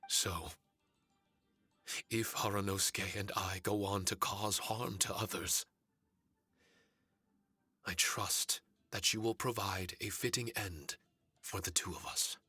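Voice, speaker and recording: A man's voice: on the low side, fairly even intonation, slow pace, long pauses, sharp tone, husky, dry recording, heavily acted. An actor playing a solemn, humble male spirit warrior in a video game, speaking in a grave, formal way. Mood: grave resolve. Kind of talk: English video game voice acting.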